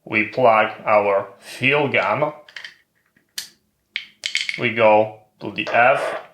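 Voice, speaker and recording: Slow, bright-voiced man giving step-by-step instructions. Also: lowish voice, reverberant. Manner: methodical, repetitive phrasing in short separated steps. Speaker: tech channel presenter giving a tutorial. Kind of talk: step-by-step instructional narration of a hardware demonstration.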